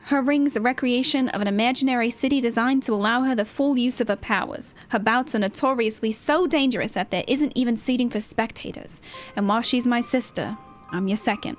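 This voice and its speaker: A woman's voice: fairly high pitch, a little fast, natural voice. A supportive young woman from a video game, voiced by a female player, speaking earnestly as a fighter's second. The delivery is sincere, subdued and conversational. Faint music sits behind the voice. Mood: earnest, encouraging. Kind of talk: video game dialogue voice-over.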